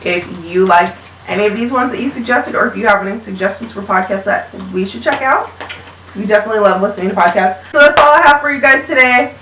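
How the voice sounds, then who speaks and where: A woman's voice: mid-range voice, fast talker, bright, forceful tone, slight background noise. Woman, 30s, vlog host and mother, upbeat and chatty, heard from a distance in a reverberant room. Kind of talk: casual chat recommending podcasts. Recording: roomy sound, mic at a distance.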